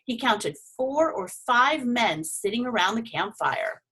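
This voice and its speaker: Expressive woman reading a children's story. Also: a little high, takes it slowly, husky, low-quality recording. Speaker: storybook reader. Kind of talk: expressive scripted narration for children.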